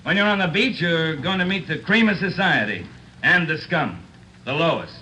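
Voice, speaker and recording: An actor playing a middle-aged veteran police commander lecturing recruits in an old radio drama, blunt, earnest and plainspoken. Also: male voice, a little high, expressive, normal speed, bright, forceful tone, rough recording. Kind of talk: 1940s-50s radio drama acting, speech to a class. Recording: old radio recording with faint noise.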